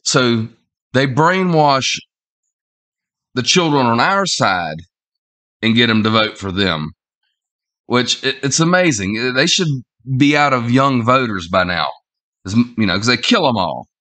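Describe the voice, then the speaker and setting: A man in his forties with a head cold that makes him sound nasal, talking in a halting, rambling way with many fillers and restarts. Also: medium pitch, sing-song, bright, forceful tone. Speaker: online commentator. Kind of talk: casual opinion vlog. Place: small indoor room at home.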